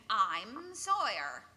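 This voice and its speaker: A woman's voice: fairly high pitch, wildly swooping pitch, extremely slow, pushed and bright, heavily acted. An actress playing a haughty, snappish, easily flustered amateur woman psychologist in a live stage radio play. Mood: flustered, nervous. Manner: snappish and indignant, flustered under pressure. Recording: noisy live stage recording with ambience.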